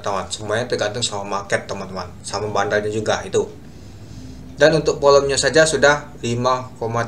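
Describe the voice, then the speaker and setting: A man's voice: mid-range voice, a bit bright, a little hiss or hum. A young man casually talking viewers through stock charts on a screen share, addressing them as friends over and over, recorded at a computer in a small room. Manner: chatty, repeats "teman-teman" constantly, talks through charts. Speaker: stock market youtuber.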